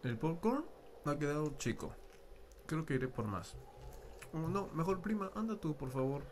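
A man's voice: fairly high pitch, lively intonation, moderate pace, a little hiss or hum, natural voice. A man playing an easygoing best friend. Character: young man, the best friend, casual and oblivious. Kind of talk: ASMR roleplay acting.

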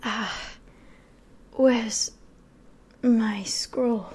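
Slow whispering demon sorceress, acted by a woman. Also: on the high side, steady tone, exaggerated voice. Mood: weary, commanding. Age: young adult. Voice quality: breathy, hushed whisper. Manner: slow, deliberate, imperious. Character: menacing female demon sorceress, commanding and scheming. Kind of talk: whispered ASMR roleplay. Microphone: close microphone, facing camera.

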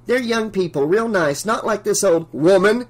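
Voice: medium pitch, expressive, normal speed, voice pushed up, natural voice. A narrator voicing a well-meaning young caroler in a storybook, casual and earnest.

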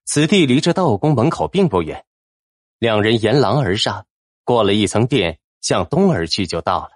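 A man's voice: lowish voice, sing-song, moderate pace, no room sound, studio quality. A man narrating a fantasy novel in a dramatic, scripted storytelling style. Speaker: audiobook narrator.

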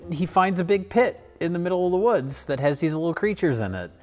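A man's voice: sits high, lively intonation, brisk, faint music behind. A man talking casually as a panelist on a film review show.

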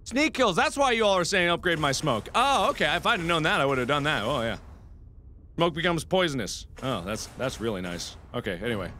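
A man hosting a let's play, commenting on gameplay with sudden loud outbursts and mumbled asides. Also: fairly high pitch, big pitch swings, brisk, slight background noise. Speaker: gaming video host. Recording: game music behind the voice. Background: game music.

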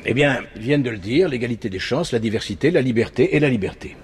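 An actor playing a jaded middle-aged news anchor in a dubbed TV drama, speaking in a biting, emphatic, rhetorical manner. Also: male voice, mid-range voice, lively intonation, fast talker, a little hiss or hum, natural voice. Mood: dry, evasive. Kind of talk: dramatic monologue in a dubbed TV series.

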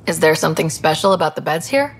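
Earnest, lower-voiced young explorer. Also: female voice, expressive, fast, a little hiss or hum. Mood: casual, curious. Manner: earnest, casual, direct. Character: young, earnest, easygoing human woman explorer hero. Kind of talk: video game dialogue.

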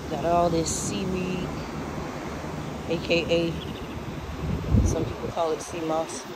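A woman's voice: on the low side, little pitch movement, takes it slowly. A talkative woman rambles to her followers while walking on the shore. Her phone mic picks up heavy wind and crashing surf. She talks in a loose, easygoing way and often turns aside to address the viewers. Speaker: vlogger. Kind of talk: casual walking vlog. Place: outdoors on a windy beach. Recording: loud wind and wave noise.

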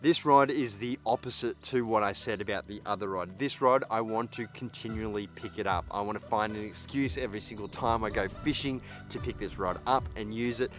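A man in his thirties with a fairly low voice talks casually to camera outdoors, reviewing gear as a vlog host. He is blunt and opinionated in his verdicts, with music playing behind him. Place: outdoors on a parked boat in a grassy yard.

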